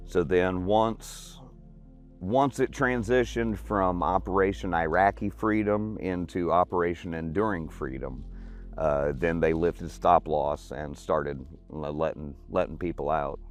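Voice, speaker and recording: An American man in his forties, an Army veteran, telling his own story informally in plain, colloquial words. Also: rumbling low.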